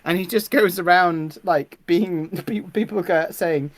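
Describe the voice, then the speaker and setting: A podcast panelist chatting casually and telling anecdotes in an animated way, often laughing while talking. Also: medium pitch, unhurried. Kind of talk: casual chat.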